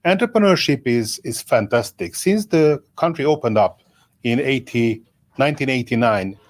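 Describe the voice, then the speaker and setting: A man with a strong Hungarian accent, co-founder speaking as a guest in a casual live interview, earnest and deliberate. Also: medium pitch, expressive.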